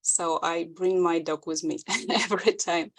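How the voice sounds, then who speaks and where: A woman with a Russian accent giving an informal talk in halting, non-native English, often searching for words. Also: on the low side, steady tone, a bit bright. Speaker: conference speaker. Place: online video call.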